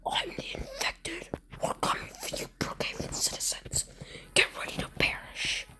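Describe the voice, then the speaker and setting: A woman's voice: moderate pace, lightly acted. Woman acting a whispering, menacing infected creature. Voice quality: breathy, whispered.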